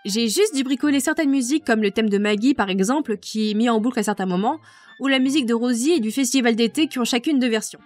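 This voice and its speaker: A woman's voice: fairly high pitch, fast, faint music behind. A woman reading out prepared answers about making a fan dub, explaining in detail. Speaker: fandub project lead.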